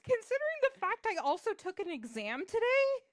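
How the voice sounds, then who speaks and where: A young woman co-hosting a casual podcast chat, joking around with quick asides. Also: very high voice, big pitch swings, dry recording, clean studio sound. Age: young adult. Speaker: podcast co-host.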